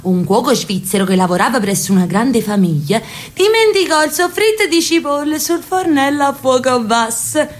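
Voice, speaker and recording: An aging sister from Naples, fond and stubborn, coaxing her brother in a radio play. Her voice sits fairly high for a woman, with a lilt and warm insistence. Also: very animated, moderate pace, few pauses. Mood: lively. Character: elderly Neapolitan sister, insistent and affectionate. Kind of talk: radio drama acting.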